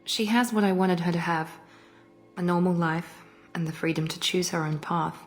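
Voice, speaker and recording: Guarded female agent played by a woman, slightly low voice, composed and reserved, faint game score behind. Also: fairly even intonation, normal speed, faint music behind, close to an everyday voice. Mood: reserved, wistful. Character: cool, guarded human female operative, quietly grateful. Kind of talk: video game voice acting.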